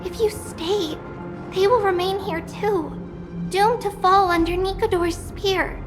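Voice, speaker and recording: A woman voicing an ancient high priest in a young girl's body, grave and formal, speaking of herself as "we". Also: fairly even intonation, takes it slowly, music in the background. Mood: pleading.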